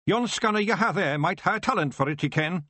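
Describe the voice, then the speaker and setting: A man's voice: high-pitched, lively intonation, fairly quick, pushed and bright, dry recording, voice pushed up, heavily acted. A man voicing a small male fairy with a Scottish accent, talking earnestly in thick dialect.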